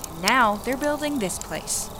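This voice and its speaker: An American actress playing a young, cynical warehouse picker, wry and argumentative, in a naturalistic audio drama. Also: female voice, a little high, expressive, a little slow, background noise. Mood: bitter, impassioned.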